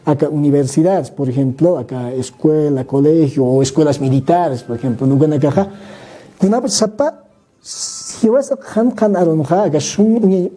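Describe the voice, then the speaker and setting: Man, very dark tone, husky, conversational explaining, frequent restarts. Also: a little high, moderate pace. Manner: thinking aloud, many restarts and "¿no?" tags. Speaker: guest speaker. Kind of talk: conversational explanation, mixing Spanish and Aymara.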